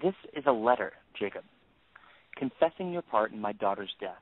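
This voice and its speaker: An actor playing a middle-aged, grieving father out for revenge, measured, accusing and menacing in an audio drama. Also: lowish voice, expressive, natural voice. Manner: measured, accusing, menacing, dwells on repeated phrases. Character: vengeful grieving father, coldly confronting his oldest friend. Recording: phone-like lo-fi line.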